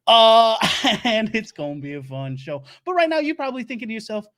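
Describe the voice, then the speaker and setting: Male comic doing a high-voiced, put-on Louisiana doomsday-prep host, rambly and self-important. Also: big pitch swings, fairly quick, fairly bright tone. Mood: rambling. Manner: rambling, stumbling, laughs at own jokes. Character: bumbling self-proclaimed Southern doomsday-prep lecturer man. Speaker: comedy show host. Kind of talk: improvised comic monologue. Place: home studio.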